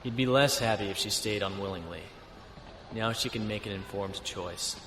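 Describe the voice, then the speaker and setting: An actor playing a calm, thoughtful male starship captain, measured and understated, in a sci-fi audio drama. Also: lowish voice, slight background noise, close to an everyday voice. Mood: reflective.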